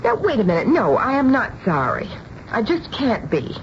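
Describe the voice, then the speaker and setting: A woman's voice: on the high side, wildly swooping pitch, normal speed. An actress playing a kindly but firm middle-aged mother in a radio drama, earnest and reassuring as she tells her story. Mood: apologetic, reassuring. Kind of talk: radio drama acting and narration. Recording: dull, noisy vintage radio recording.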